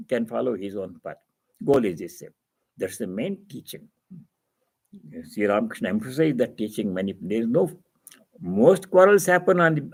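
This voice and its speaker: A man with a husky voice giving a spiritual teacher's reading and commentary, in halting phrases with repetition and explanatory asides. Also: medium pitch.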